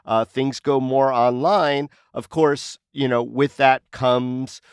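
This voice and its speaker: A man with a clean, smooth voice in a dry, close recording talks through local news as a podcast co-host. He keeps it conversational and hands questions over to the others. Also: medium pitch, fairly even intonation, slow pace, dry recording. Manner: conversational, posing questions to co-panelists. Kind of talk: casual news discussion.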